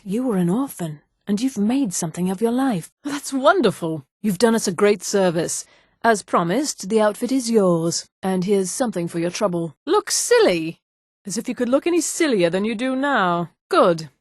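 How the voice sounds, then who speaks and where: A woman voicing a haughty, poised female high elf in a fantasy video game, speaking in an articulate, theatrical manner. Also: animated, moderate pace, dry recording, studio quality, close to an everyday voice. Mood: mocking, contemptuous. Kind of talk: acted video game dialogue.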